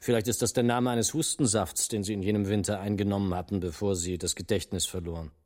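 A man's voice: on the low side, steady tone, quick pace, darkish tone, natural voice. A man playing a brash, suspicious small-time crook in a dubbed film drama, blunt, sardonic and probing.

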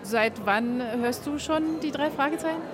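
A woman's voice: high-pitched, normal speed, a crowd in the background. A woman interviewer asking questions in a conversational style.

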